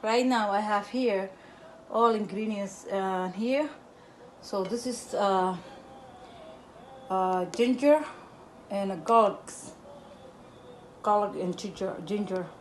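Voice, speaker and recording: A Somali woman with a Somali accent, hosting her cooking channel from her home kitchen in halting English, recorded by a camera a little way off. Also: mid-range voice, slight background noise, low-quality recording. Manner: halting broken English, repeats words while explaining. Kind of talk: casual cooking demonstration.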